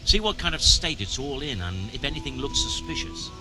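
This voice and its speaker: An actor playing a stern, middle-aged medieval mining chief in a video game, brusque and commanding, with formal wording. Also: male voice, medium pitch, sing-song, a little fast, natural voice, poor audio. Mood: businesslike, cautioning. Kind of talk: scripted video game dialogue. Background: music.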